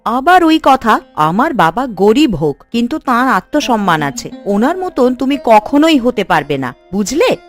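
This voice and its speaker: A woman voicing an emphatic, proud Bengali village housewife in an animated moral story. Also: a little high, unhurried, faint music behind. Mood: indignant.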